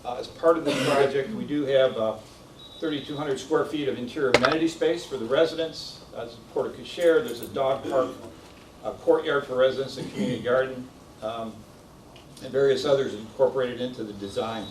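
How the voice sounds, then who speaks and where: A man's voice: mid-range voice, steady tone, a little fast, background noise. Man walking through presentation slides. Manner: businesslike with fillers. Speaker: architect presenting. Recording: echoey room, distant mic.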